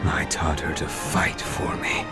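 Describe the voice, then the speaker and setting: An actor playing a taunting, sadistic monster-bonded hunter in an audio drama, with a theatrical, toying menace, over music. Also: male voice, extremely low pitch, little pitch movement, measured pace, vocal fry, full character voice.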